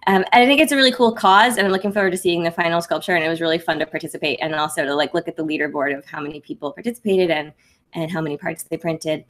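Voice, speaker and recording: A young woman chats softly on her livestream, rambling through her projects with plenty of ums and likes. Her voice sits a little low for a woman, even though she speaks gently. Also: rapid-fire. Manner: speaks softly and higher-pitched. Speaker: maker livestream host. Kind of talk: casual livestream chat.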